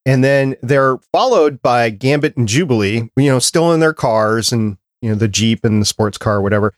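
A man's voice: medium pitch, lively intonation, moderate pace, no room sound. Man chatting on a dry close mic. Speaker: podcast host. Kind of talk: casual panel discussion, reviewing a cartoon.

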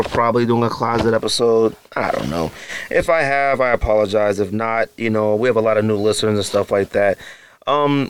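A man's voice: lowish voice, slight background noise. A middle-aged man hosting a podcast, casually rambling with lots of ums and you-knows, self-deprecating. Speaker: podcast host. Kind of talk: casual solo sneaker show-and-tell.

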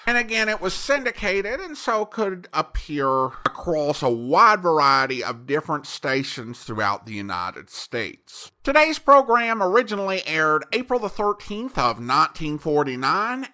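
Male podcast host, scripted intros, slow pace, crisp bright tone with lots of melodic movement. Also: a little high, big pitch swings.

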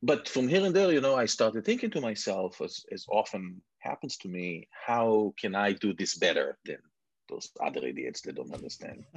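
A man's voice: fairly low pitch, sing-song, moderate pace, husky, dry recording. A man in his forties from Israel with an Israeli accent, the guest entrepreneur, talking casually with self-mocking asides and many restarts. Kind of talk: casual interview chat. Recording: dull muffled lo-fi sound.

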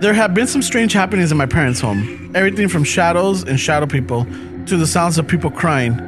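A man with a Chicano English accent, casually reading a listener's story aloud on a podcast, relaxed and profane, mixing in Spanish phrases. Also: fairly high pitch, a little fast. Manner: laid-back, swears often, laughs through lines. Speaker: podcast host. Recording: music playing underneath.

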